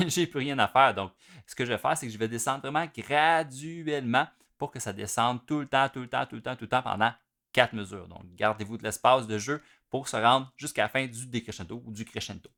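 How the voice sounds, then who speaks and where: A man with a Quebec French accent, a drum teacher giving an informal, explanatory lesson in which he pauses to play between phrases. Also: mid-range voice, creaky, a bit bright. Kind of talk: instructional video lesson.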